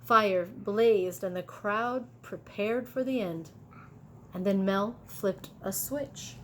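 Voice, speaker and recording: Lady teacher reading a kids book out loud, slow and animated, bit of noise behind her. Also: mid-range voice, poor audio. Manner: animated, pausing for asides to children. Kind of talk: expressive children's story read-aloud.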